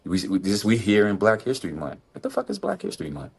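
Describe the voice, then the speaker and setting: An impassioned man on a podcast with a low, husky voice. He fires off rhetorical questions and jumps up to sharp, high exclamations. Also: huge pitch swings, fast talker. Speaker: podcast co-host. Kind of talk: casual opinion talk.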